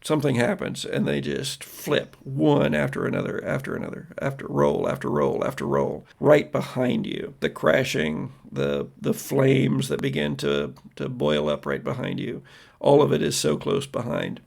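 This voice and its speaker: An American man narrating a tabletop horror game as game master, telling it in a dramatic, deliberate way with trailing pauses. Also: medium pitch, big pitch swings, creaky, a little mellow. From United States. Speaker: game master and podcast host.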